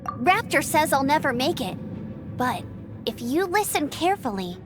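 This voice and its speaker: Very high, spirited young knight girl. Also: big pitch swings, normal speed, music in the background. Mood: determined. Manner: earnest, spirited, emphatic. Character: brave, headstrong young female knight scout and glider. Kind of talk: anime-style game voice acting.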